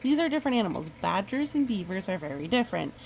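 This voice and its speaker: A woman reading a picture book aloud in a chatty, expressive way, with casual asides. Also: mid-range voice, fast, creaky, slight background noise, low-quality recording. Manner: chatty, expressive storytelling with playful sound effects. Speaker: storybook reader.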